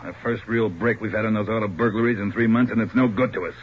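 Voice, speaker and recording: An actor playing an impatient, brusque chief of detectives in a 1940s radio crime drama. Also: male voice, fairly low pitch, steady tone, fast, natural voice. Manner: brusque, bossy. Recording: dull, noisy old radio recording.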